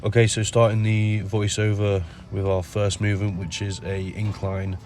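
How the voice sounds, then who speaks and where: Low, flat-voiced man with a nasal cold. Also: normal speed, faint music behind. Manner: relaxed, many ums, explains step by step. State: has a cold. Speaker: bodybuilder narrating his workout. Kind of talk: casual voice-over commentary.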